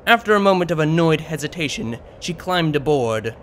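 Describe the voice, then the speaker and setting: Higher-pitched male audiobook narrator. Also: lively intonation, normal speed, fairly bright tone, slight background noise. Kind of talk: scripted story narration.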